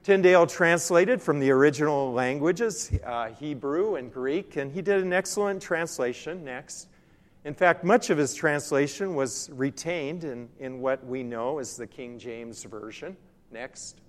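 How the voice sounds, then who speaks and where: A man's voice: a little high, measured pace. Older man presenting in a reverberant hall. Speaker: missionary Bible translation consultant giving a presentation. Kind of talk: slide-show mission talk to a church group. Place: large reverberant room or hall. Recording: echoey hall with long reverb.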